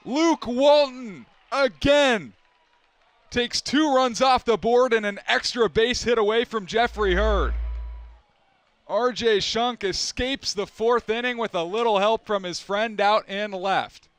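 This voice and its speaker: A young man doing live play-by-play, with a quick, crisp call that climbs into excited shouts on big plays. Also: high-pitched, dry recording. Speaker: play-by-play announcer. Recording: broadcast with loud arena crowd and noise.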